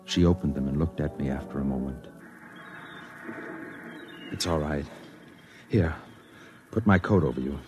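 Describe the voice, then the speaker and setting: A low-pitched American man as a brooding, lonely reporter in his thirties, confessing his story in a vintage radio drama. His delivery is measured and sensitive, with music playing clearly behind him. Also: highs cut off. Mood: tender. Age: 30s. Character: lonely, sensitive thirty-one-year-old newspaper reporter and secret poet. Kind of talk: 1950s radio drama narration.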